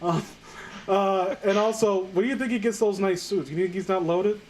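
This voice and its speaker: A man's voice: high voice, moderate pace, a little mellow, a little hiss or hum. A man reading a story aloud as host among friends, casual and incredulous, often tripping over the text and breaking off to mock it. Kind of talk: casual mocking reading of amateur fan fiction with friends.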